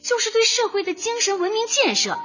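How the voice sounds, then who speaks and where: A woman's voice: extremely high pitch, very animated, brisk. An actress playing an earnest, cheerful young woman who runs a community office, coaxing tactfully in a light radio comedy. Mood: rousing, persuasive. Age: young adult. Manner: eager, coaxing, speechifying in slogans. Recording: dull lo-fi audio with music behind.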